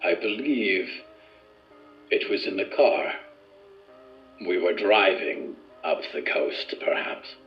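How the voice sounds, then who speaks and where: Slow, low, formal male test subject. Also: expressive. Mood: dreamy, recollecting. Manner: formal, measured, deliberate wording. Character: formal, earnest male research subject called Green. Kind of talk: audio drama acting. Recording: echoey room, muffled, music behind.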